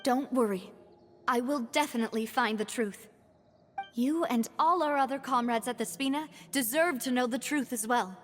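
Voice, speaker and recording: Woman playing young lady boss in a video game, high pitched, poised and determined. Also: normal speed, faint music behind, lightly acted. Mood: resolute. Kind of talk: video game voice acting, dramatic dialogue.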